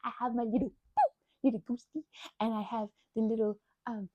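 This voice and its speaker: A woman doing an ASMR best-friend roleplay, chatting in a rambling, scattered way with playful, childlike excitement. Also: a little high, brisk, no room sound, muffled recording. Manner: rambling, scattered, jumping between asides.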